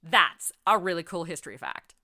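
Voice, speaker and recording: A woman's voice: lowish voice, big pitch swings, normal speed, bright, forceful tone. A woman hosting a podcast with playful, quippy, semi-scripted comedic interview banter. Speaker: podcast host.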